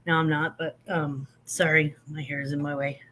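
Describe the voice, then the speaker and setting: An older woman chats on a livestream, rambling from one thought to the next and poking fun at herself as she answers viewers. Her voice is bright and forceful, and she sounds a little stuffy from allergies. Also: deep voice, flat delivery, normal speed, slight background noise. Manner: chatty, rambling, self-deprecating, reads and answers chat. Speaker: livestream host. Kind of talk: casual livestream chat.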